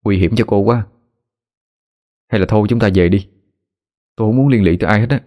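A man voicing a sick young man who talks quickly and earnestly. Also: fairly low pitch, dark tone, lightly acted. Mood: concerned.

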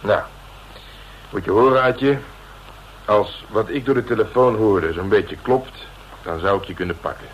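An actor playing a gruff, streetwise veteran police detective, blunt and domineering in colloquial radio drama dialogue. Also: male voice, on the low side, moderate pace, long pauses, close to an everyday voice. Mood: bargaining, stern. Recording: dull, noisy old radio recording.

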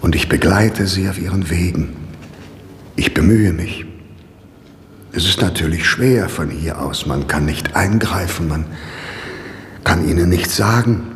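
Deep, slow, brooding imprisoned priest. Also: male voice. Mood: pensive. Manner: measured, pensive, deliberate. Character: imprisoned devout priest, gentle, brooding, awaiting execution. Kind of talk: 1960s German radio drama acting. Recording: reverberant, noisy old radio recording.

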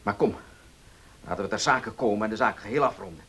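A man's voice: lowish voice, a little fast, a bit bright, slightly rough. An actor playing a proud, distrustful male heir in a Dutch radio play, speaking formally, bluntly and emphatically. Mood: accusing.

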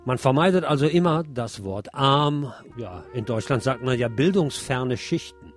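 A man presenting scripted radio news commentary, reading deliberately and emphatically with wry asides. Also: medium pitch, animated, over loud music.

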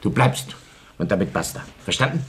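Deep-voiced domineering husband, noisy background. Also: male voice, animated, moderate pace, fairly bright tone. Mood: bossy, irritated. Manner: gruff, bossy, emphatic. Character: domineering, stubborn, blustering husband and local politician. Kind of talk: radio play acting.

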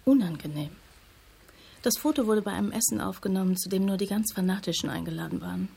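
An actress playing a sober, knowing investigative journalist in a radio drama, direct and matter-of-fact. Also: female voice, medium pitch, fast talker, gravelly, warm tone, slight background noise, close to an everyday voice. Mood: sober, explaining. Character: sober, knowing female investigative journalist, loyal old friend.

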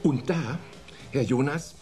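An actor playing a formal, harried security chief in a German radio play, earnest, indignant and emphatic. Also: male voice, mid-range voice, takes it slowly, darkish tone, soft background music, close to an everyday voice. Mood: earnest, aggrieved.

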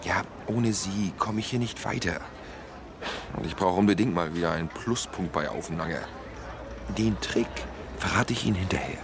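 An actor playing a scheming police detective who talks casually and cajolingly in a radio play. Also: male voice, deep voice, normal speed. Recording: clear background noise and ambience.

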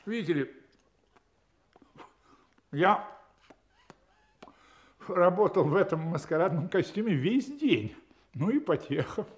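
Confident gentleman detective, fairly high voice, lively intonation, wry, radio drama. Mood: lively recounting. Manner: brisk, amused, confident storytelling. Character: self-assured, wry English gentleman detective. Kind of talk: radio play acting.

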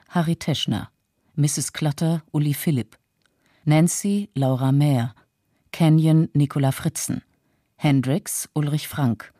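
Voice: medium pitch, dark tone, no echo. An announcer giving scripted radio drama titles and credits in a dry studio.

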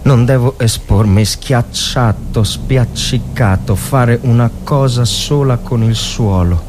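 A man voicing a frightened infantry soldier in a war story, talking himself forward in halting, tense instructions. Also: mid-range voice, noisy background, lightly acted. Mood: fearful, concentrated.

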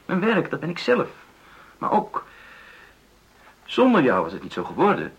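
An actor in a radio drama playing an earnest, conciliatory middle-aged husband who stammers and searches for words in a creaky voice. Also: male voice, on the high side, huge pitch swings, moderate pace, heavily acted. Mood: insistent. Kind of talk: naturalistic radio drama dialogue.

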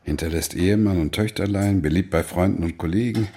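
A man's voice: sits low, brisk, warm tone, slight background noise. A man acting as a sardonic older drinker in a German radio drama, needling and theatrical in his delivery. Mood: sardonic, probing. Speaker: actor.

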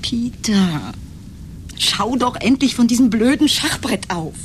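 An actress playing an anxious, urgent woman in a German radio play, pressing and hushed, at times whispering. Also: a little high, lively intonation, slow pace, a little hiss or hum.

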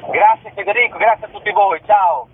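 A man's voice: sits high, a little fast, soft background music. A man giving a spontaneous radio interview as a guest performer, over a tinny phone line.

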